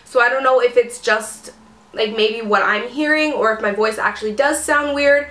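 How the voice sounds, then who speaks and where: A woman's voice: a little high, normal speed, fairly bright tone. Chatty young woman vlogger, echoey room. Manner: chatty, lots of fillers like "um" and "like". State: sick, ears plugged. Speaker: beauty vlogger. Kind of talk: casual chat to camera.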